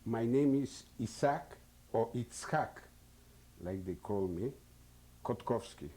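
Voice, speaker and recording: Older Polish-accented man in his 70s, unhurried, mellow husky voice, hesitant as he searches for English words. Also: medium pitch, expressive. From Poland. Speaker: interviewee. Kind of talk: oral history testimony.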